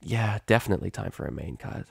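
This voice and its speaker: A man's voice: sits low, expressive, fast, creaky, breathy edge, no room sound, clean studio sound, heavily acted. A man voicing a cocky, easygoing middle-aged female pegasus pony who brags casually, in a storybook reading. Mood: nonchalant. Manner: breezy, bragging, casual.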